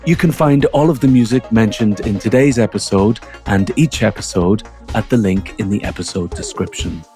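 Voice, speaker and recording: A man's voice: fairly low pitch, a little mellow, no room sound. A man with a Northern Irish accent hosting a podcast, introducing and interviewing a guest. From Northern Ireland. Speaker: podcast host.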